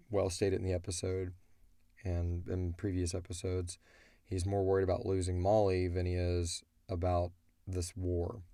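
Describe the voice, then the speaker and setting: A man's voice: low-pitched, warm tone, dry recording. A man talking informally as a co-host, explaining things in a rambling, casual way. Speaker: co-host and show creator answering listener questions. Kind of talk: casual chat about an audio drama.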